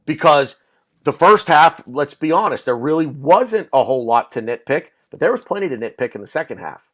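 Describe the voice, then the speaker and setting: A man's voice: a little high, sing-song, brisk, a bit bright. A man hosting a casual sports podcast, talking animatedly and repeating words as he starts his sentences. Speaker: podcast host.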